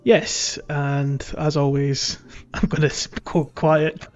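A man's voice: fairly high pitch, level tone, slow, a little mellow, highs cut off, soft background music. A man hosting a casual podcast chat about a video game, talking in a chatty, informal way. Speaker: podcast host.

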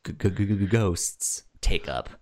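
A man with a low voice chats casually as a podcast co-host. His delivery is halting, with frequent stutters on the first sounds of words and repeated syllables. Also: expressive, a little mellow. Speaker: podcast co-host discussing a film. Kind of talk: casual chat.

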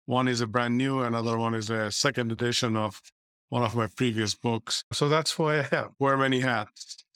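A man in his fifties, originally from Bangladesh, talks through his backstory in a plain, matter-of-fact way. His voice sounds smooth and resonant, recorded close and dry with no room around it, at a medium pitch. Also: steady tone, normal speed, fairly bright tone, no room sound. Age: 50s. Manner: plain, matter-of-fact storytelling with small restarts. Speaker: guest, entrepreneur and author. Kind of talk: casual interview answers.

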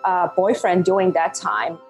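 A woman in her thirties from Hong Kong, a Cantonese native speaker with a Hong Kong English accent, telling her story as a podcast guest in animated, laugh-filled talk. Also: on the low side, unhurried, faint music behind. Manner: animated, laughs often, non-native phrasing. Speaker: guest, life coach. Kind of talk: podcast interview.

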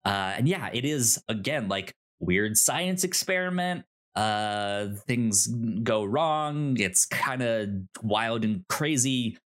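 A man with a mid-pitched voice co-hosts a review podcast. He talks casually and haltingly, pausing as he thinks his way through each point. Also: lively intonation, fairly bright tone. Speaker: podcast co-host.

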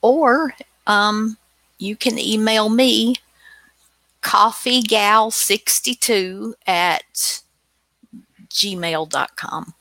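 A woman's voice: mid-range voice, extremely slow, creaky, sharp tone, no room sound. A woman hosting a radio show in casual chat, with a folksy, teasing manner.

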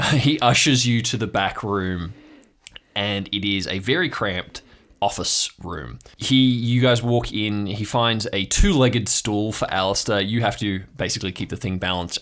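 A man narrating an improvised audio drama as game master, descriptive and halting as he makes it up. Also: on the low side, a bit bright.

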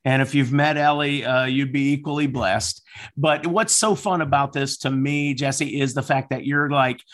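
A man's voice: mid-range voice, fairly even intonation, moderate pace, fairly bright tone. A man hosting a casual interview, asking questions in an encouraging way. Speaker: podcast host.

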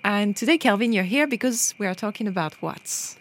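A woman's voice: mid-range voice, lively intonation, fairly quick. A woman from France hosting a podcast in a radio studio, leading the chat with a hesitant, filler-heavy delivery. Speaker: podcast host.

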